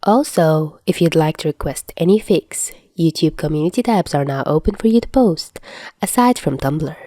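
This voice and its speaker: Soft mellow woman reading a story aloud. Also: sits low, lively intonation, normal speed, no room sound, studio quality. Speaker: podfic reader and host. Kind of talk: scripted story narration.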